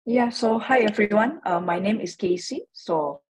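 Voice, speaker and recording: A woman's voice: medium pitch, normal speed, darkish tone, slightly rough. A young woman from Indonesia, a research engineer, introducing herself informally at an online event.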